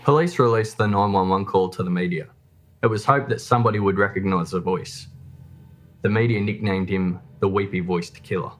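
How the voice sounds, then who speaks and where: Low-voiced male documentary narrator. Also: brisk, slight background noise. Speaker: true crime narrator.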